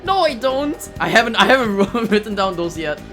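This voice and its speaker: High-voiced man commentating his own game run. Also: sing-song, fairly quick, a bit bright. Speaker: speedrunner playing the game. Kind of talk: casual live gameplay commentary. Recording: game music behind the voice. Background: video game music.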